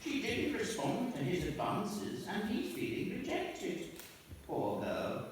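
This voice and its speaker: A man's voice: sits high, wildly swooping pitch, takes it slowly, distant mic, slight background noise, exaggerated voice. A man playing a pompous rambling old father. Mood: earnest. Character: pompous long-winded old father, Polonius. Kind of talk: amateur comic radio play. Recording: echoey hall, recorded from afar.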